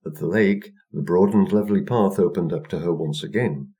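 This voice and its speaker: A man's voice: sits low, normal speed, warm tone. A man narrating a scripted folktale for children as a storyteller.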